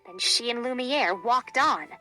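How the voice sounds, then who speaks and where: A woman reading a children's storybook aloud in an expressive, deliberate, dramatic way. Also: fairly high pitch, faint music behind, low-quality recording. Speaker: storybook reader.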